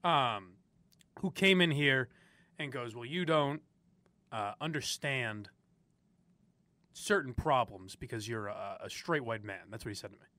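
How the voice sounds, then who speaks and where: A man's voice: medium pitch, animated, a little slow, long pauses, bright, forceful tone, dry recording. A young man from the area, hosting opinionated talk radio and ranting with frequent laughs. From Boston area. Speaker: sports radio host.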